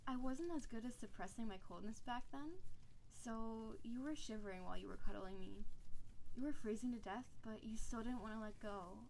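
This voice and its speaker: Snow-woman wife for audio roleplay, played by a woman: highish, mellow, clingy and intensely tender, over noticeable background ambience. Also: slight background noise, natural voice, low-quality recording. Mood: fond, nostalgic. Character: doting, possessive, needy snow-woman spirit wife. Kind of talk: intimate scripted audio roleplay monologue.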